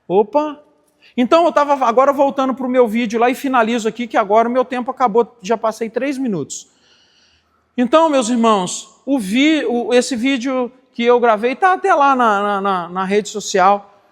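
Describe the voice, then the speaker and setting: High-voiced man preaching in a reverberant church. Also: normal speed. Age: 40s. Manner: emphatic, repeats phrases for stress. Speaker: pastor. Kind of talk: church teaching sermon. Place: church sanctuary.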